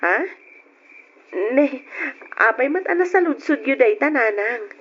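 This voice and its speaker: A woman's voice: high voice, animated, measured pace, a bit bright, heavily acted. A woman with a Filipino Ilocano accent playing an evasive, coy married young woman in an old-time radio drama, hesitating and stammering. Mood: evasive, flustered. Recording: dull, noisy old radio recording.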